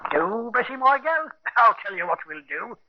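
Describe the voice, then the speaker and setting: An actor playing a scheming, wheedling Victorian barber and publican in a radio drama. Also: male voice, extremely high pitch, sing-song, moderate pace, full character voice. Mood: brash. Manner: colloquial, cajoling, brash. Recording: muffled 1950s radio recording.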